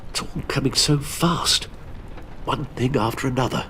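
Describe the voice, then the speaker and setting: An actor playing a loyal, weary butler in a video game, with a formal, gently worried manner. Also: male voice, mid-range voice, normal speed, slight background noise, natural voice. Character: weary, loyal, polite male butler and confidant.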